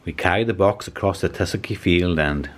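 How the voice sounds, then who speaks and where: A man reading a children's story aloud as scripted narration, in an expressive storytelling manner. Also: deep voice, a little fast, slight background noise. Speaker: storybook reader.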